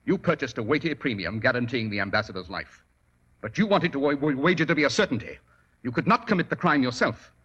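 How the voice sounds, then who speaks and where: An actor playing a shrewd, commanding detective in 1940s radio drama style, with precise, formal, theatrical delivery. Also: male voice, mid-range voice, lively intonation, fast, fairly bright tone, breathy edge, lo-fi sound. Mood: coolly accusing. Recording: vintage 1940s radio recording.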